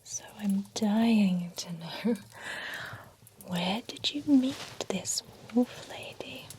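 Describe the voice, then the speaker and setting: A woman's voice: medium pitch, lively intonation, extremely slow, darkish tone, slight background noise. A woman whispering in a chatty, playful way as a pampering spa stylist in an ASMR roleplay. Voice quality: mostly unvoiced whisper.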